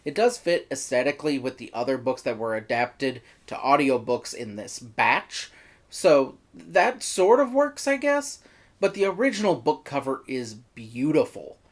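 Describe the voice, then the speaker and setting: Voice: medium pitch, very animated, a little slow. A reviewer narrating a scripted video review in an opinionated way, with wry, exasperated asides. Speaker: reviewer, host.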